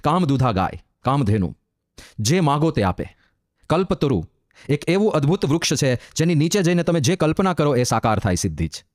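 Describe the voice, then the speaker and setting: A man giving a devotional sermon as a monk, chanting verses slowly and explaining them with emphatic, rising repetition. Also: fairly high pitch, big pitch swings, no room sound, studio quality.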